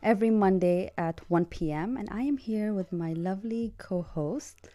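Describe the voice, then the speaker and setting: A woman's voice: medium pitch, moderate pace, dark tone. A woman hosting a live radio talk show, upbeat and welcoming as she opens the episode and hands over to her co-host. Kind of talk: casual radio chat. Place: radio studio.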